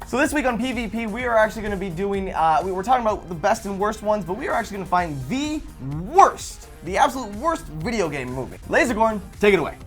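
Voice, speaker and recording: A woman's voice: mid-range voice, quick pace, hardly any pauses, soft background music. A young woman co-hosting a casual gaming panel show and reading out viewer comments. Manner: lively, reads viewer comments. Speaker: show co-host.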